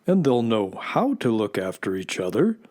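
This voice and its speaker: A man playing a dry, low-key nonhuman companion in a children's radio play. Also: huge pitch swings, normal speed, darkish tone. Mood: reassuring. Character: low-voiced, mellow, dry nonhuman companion without fingers.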